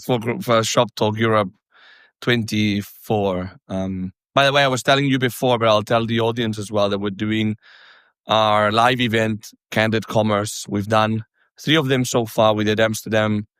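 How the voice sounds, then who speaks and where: A man's voice: lowish voice, steady tone, moderate pace, a bit bright, clear, resonant tone, no room sound, studio quality. A man from Catalonia with a Catalan accent, hosting a podcast in a chatty, friendly way and asking open questions. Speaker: podcast host. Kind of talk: casual interview chat.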